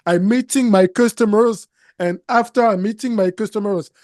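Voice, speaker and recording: A man in his thirties with a French accent, a native French speaker and business coach, talking haltingly in a studio interview and repeating words while he searches for English. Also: high voice, darkish tone, no room sound. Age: 30s. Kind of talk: interview conversation. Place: studio set, seated in armchair.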